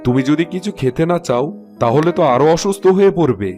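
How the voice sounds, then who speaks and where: A man's voice: on the high side, animated, fast, darkish tone, faint music behind, lightly acted. A man voicing a caring but commanding king in a Bangla cartoon fairy tale.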